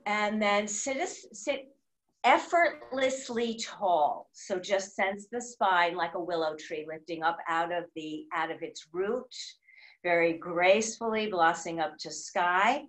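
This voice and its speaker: Woman, yoga teacher, very slow pace, slightly low pitch, soothing guided meditation, gentle pauses. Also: poor audio.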